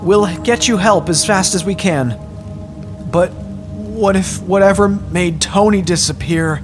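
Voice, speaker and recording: A man's voice: high-pitched, slow, music in the background, pitched above the performer's own voice. A man playing an earnest older teenage scout taking charge in a horror story, urgent and reassuring. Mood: anxious, resolute.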